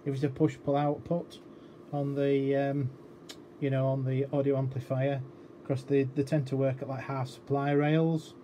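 A man's voice: mid-range voice, flat delivery, normal speed, dark tone. A man giving an informal technical walkthrough as a repair demonstrator, explaining hesitantly and thinking aloud. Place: indoor electronics workbench. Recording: clearly noisy workshop room recording.

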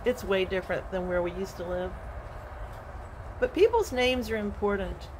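A woman in her sixties, a retired teacher, sharing a gentle, earnest devotional while recording on her phone on an outdoor porch. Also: mid-range voice, noisy background. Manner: slow, gentle and earnest, with soft laughs. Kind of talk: casual devotional walk-and-talk vlog. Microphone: phone held at arm's length.

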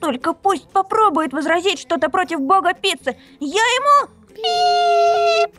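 A woman's voice: extremely high pitch, expressive, unhurried, pushed and bright, faint music behind, full character voice. A woman voicing a rude, grumpy young princess in an amateur visual novel dub, talking bluntly and crudely. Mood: grumbling.

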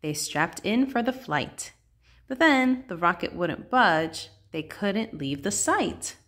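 A woman reading a rhyming children's picture book aloud in a measured, sing-song, expressive way. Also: mid-range voice.

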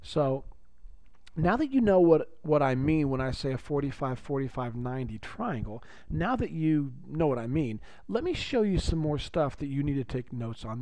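A man with a raspy voice teaching a geometry lesson, upbeat and chatty, repeating key phrases for his students. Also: mid-range voice, dark tone, no room sound. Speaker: math teacher. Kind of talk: recorded classroom lecture.

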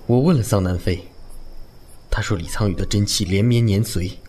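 Man acting an earnest low-voiced young hero. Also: normal speed, mellow, a little hiss or hum, lightly acted. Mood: grave. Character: earnest, cautious young male wuxia hero. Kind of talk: Chinese martial-arts radio drama acting.

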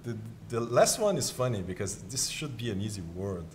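A man's voice: medium pitch, big pitch swings, brisk, noisy background. A man in his thirties from Brazil with a Brazilian Portuguese accent, a guest explaining words in casual chat, laughing often and restarting sentences, recorded on a studio mic. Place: studio set with shelves. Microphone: studio mic on stand.

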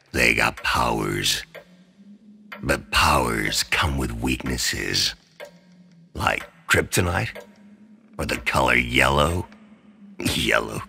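A grim comic-book vigilante with an extremely low, gravel-filled voice. He is proud and obsessive, delivering slow, terse, brooding lines over prominent music. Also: pushed and bright, heavily acted. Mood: bitter, boastful. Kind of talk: dark comic-book drama narration and dialogue.

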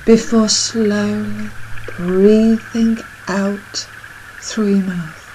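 A woman leading a guided breathing meditation in a soothing, measured, soft and breathy voice that nears a whisper at times. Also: mid-range voice, steady tone, slight background noise, rough recording. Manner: soothing, measured, unhurried instruction.

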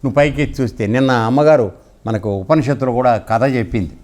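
A man's voice: medium pitch, fast, darkish tone. A man giving a spiritual discourse in a conversational, didactic way, often checking that listeners follow.

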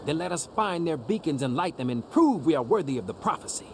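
A man's voice: fairly high pitch, sing-song, fast, slight background noise. A man playing a bold young gang boss who declaims like a grandiose fantasy warlord in a video game. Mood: defiant, grandiose. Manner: theatrical mock-medieval declaiming. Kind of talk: video game role-play acting.

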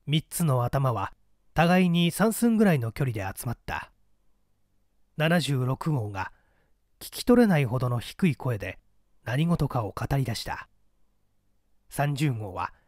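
A man's voice: mid-range voice, darkish tone, dry recording, studio quality. A man reading a mystery story aloud as dramatic scripted narration. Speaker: audiobook reader.

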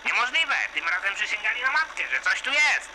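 A man voicing an earnest, insistent military commander in an animated comedy. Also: high voice, fairly quick, background noise. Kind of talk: amateur animated comedy acting.

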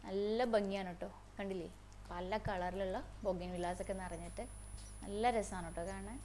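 A woman's voice: medium pitch, fairly even intonation, normal speed, darkish tone. A young woman chatting casually to viewers in a travel vlog, filming herself on a phone outdoors in a sunny park. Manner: chatty, tag-question asides to viewers. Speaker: vlogger. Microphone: phone at arm's length.

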